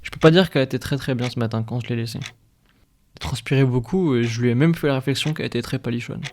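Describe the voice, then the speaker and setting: A man's voice: medium pitch, fast talker, a little mellow, close to an everyday voice. A man playing an ailing, anxious village worker in an audio drama, with a hesitant, stammering, folksy way of talking. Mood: troubled, uneasy. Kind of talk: audio fiction podcast acting.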